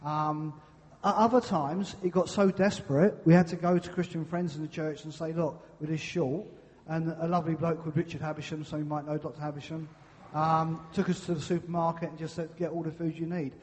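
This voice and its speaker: A man's voice: fairly high pitch, little pitch movement, a little fast, a little mellow. A man giving an earnest personal testimony in a church. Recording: reverberant church hall.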